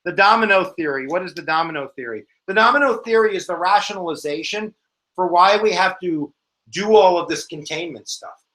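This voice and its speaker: Male American teacher, animated and slangy, slightly high voice. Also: brisk. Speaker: history teacher. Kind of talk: casual exam review lesson.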